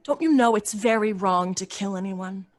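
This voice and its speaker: A woman's voice: mid-range voice, normal speed, close to an everyday voice. A young woman playing an earnest, kind and fearless young girl in an old-time radio drama. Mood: prim, earnest. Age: young adult. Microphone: studio microphone with pop filter, over video call.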